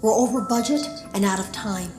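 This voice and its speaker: A woman's voice: mid-range voice, moderate pace, natural voice. A woman playing an anxious game developer recording secret audio logs for a horror game, earnest, uneasy and confiding. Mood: urgent warning. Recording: roomy echo, music behind.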